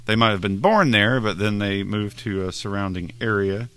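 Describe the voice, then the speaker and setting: A man hosting a casual interview, chatty and quick to laugh, often filling with "like". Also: on the low side, a bit bright, clear, resonant tone. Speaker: interviewer host.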